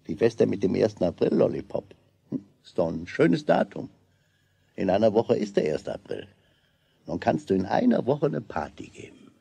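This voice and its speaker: An actor playing a kindly, inventive shopkeeper with a gravelly voice in a children's radio play. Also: male voice, lowish voice, sing-song, normal speed, mellow, dry recording, heavily acted. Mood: encouraging. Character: kindly, inventive shopkeeper, grown-up friend of a boy.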